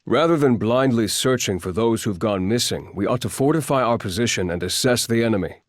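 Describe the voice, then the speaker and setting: An actor playing a cautious, measured strategist in a video game, speaking formally and deliberately. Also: male voice, medium pitch, animated, no echo, natural voice. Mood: calm, firm. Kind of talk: scripted video game acting.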